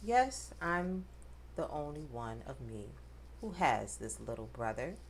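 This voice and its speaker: A woman reading a rhyming picture book aloud to children, with expressive, dramatic emphasis. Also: rumbling low, slow, slight background noise. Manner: addresses children directly, dramatic emphasis on rhymes.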